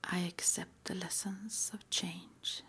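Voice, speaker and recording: A woman doing an ASMR roleplay in a breathy, unvoiced whisper, with a gentle, unhurried manner. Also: on the low side, little pitch movement. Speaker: ASMR roleplay performer playing a witch school interviewer.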